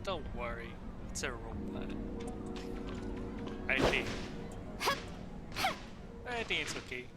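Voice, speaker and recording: Slow-talking gamer man over loud game music. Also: mid-range voice, animated. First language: not English. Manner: chatty and self-deprecating, laughing at himself. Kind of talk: casual let's-play commentary.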